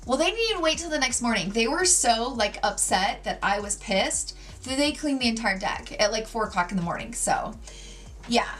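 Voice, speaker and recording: A woman in her forties chatting while she does her makeup at home, her voice pitched a touch high and swinging up and down a lot. She tells gossipy stories in a casual, animated way, sprinkling in plenty of "like"s. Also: moderate pace, soft background music. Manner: gossipy, animated storytelling with many "like"s. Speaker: beauty vlogger. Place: home, sitting at a makeup table.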